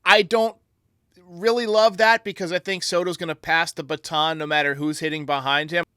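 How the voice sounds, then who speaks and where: A man's voice: a little high, fairly even intonation, normal speed, sharp tone, clean tone, dry recording, studio quality. A man hosting a podcast, answering fan voicemails with emphatic, opinionated sports talk. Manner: emphatic, argumentative.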